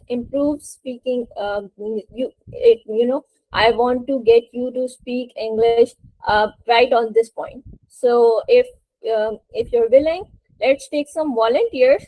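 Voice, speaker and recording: A woman's voice: on the high side, dark tone, dry recording, poor audio. A woman teaching a spoken English lesson to a group on an online call, encouraging and prompting participants. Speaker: English teacher hosting a webinar.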